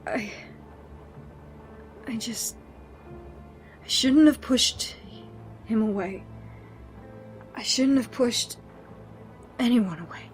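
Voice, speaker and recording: A woman's voice: on the high side, drawn-out words, pauses often, faint music behind. A woman playing a bitter, guilt-ridden, foul-mouthed woman in an audio drama, blunt and defensive in manner. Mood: guilty, regretful.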